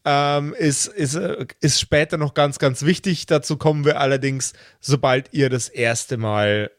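A man's voice: mid-range voice, unhurried, no room sound, clean studio sound. A man acting as game master in a casual, unscripted discussion on a tabletop role-playing podcast.

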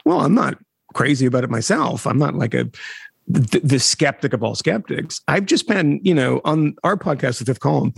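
A middle-aged man, a reporter guest on a podcast, talking in an animated way with wry asides and chuckles. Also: mid-range voice, creaky, breathy edge, no echo, clean studio sound. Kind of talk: conversational interview.

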